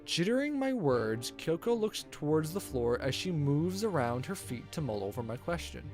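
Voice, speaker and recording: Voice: mid-range voice, sing-song, moderate pace, darkish tone, faint music behind. A game streamer reading a visual novel aloud in a playful, theatrical way, stumbling over the text and reacting casually.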